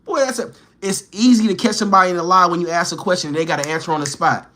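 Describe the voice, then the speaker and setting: A man's voice: sits high, fairly even intonation, racing, pushed and bright. A man livestreaming, bantering with and teasing his chat in slangy street talk, firing challenging questions.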